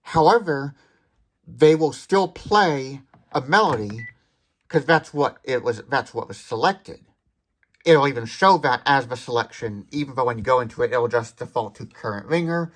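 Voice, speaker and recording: A mid-pitched voice of a product demonstrator talking through a device without a script. The delivery is explanatory and thorough, moving from one detail to the next. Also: animated, moderate pace, low-quality recording. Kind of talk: unscripted tech demonstration.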